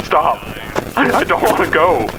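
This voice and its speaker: An actor playing a terrified, tearful man, stammering and halting as he pleads in a payphone voicemail for an audio horror drama. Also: high-pitched, big pitch swings, exaggerated voice. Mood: panicked pleading. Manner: halting, stammering, repeating himself. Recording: noisy payphone voicemail with background ambience.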